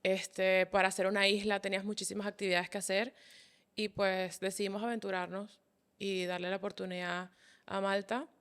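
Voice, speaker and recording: A woman in her thirties with a Caracas Venezuelan accent tells her story as a guest. She speaks calmly and relaxed at a mid pitch. Her voice is clean and smooth. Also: moderate pace. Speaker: interview guest. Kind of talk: casual interview chat.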